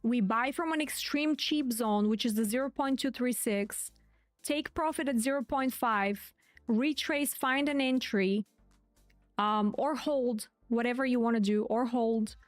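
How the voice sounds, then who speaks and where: A woman's voice: fairly high pitch, a little slow, dry recording, soft background music. A woman host giving live stream market commentary in a chatty way, repeating her key points. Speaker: crypto trading streamer.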